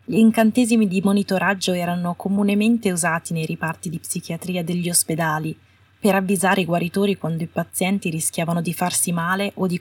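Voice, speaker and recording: A woman's voice: lowish voice, husky. A woman reading scripted fiction as an audiobook narrator. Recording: roomy echo, distant from the mic.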